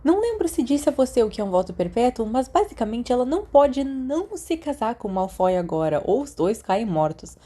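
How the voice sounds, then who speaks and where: A Brazilian woman reading fan fiction letters aloud as an audiobook narrator, in an expressive, conversational way. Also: a little high, brisk, hardly any pauses.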